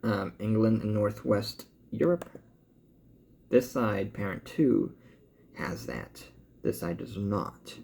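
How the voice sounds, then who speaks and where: A man with an American accent talking casually as a vlogger, thinking aloud in halting commentary as he reads through results. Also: lowish voice, expressive, vocal fry, a little mellow, slight background noise. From born in Cuba, grew up in the US. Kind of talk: casual update talk, reading results aloud.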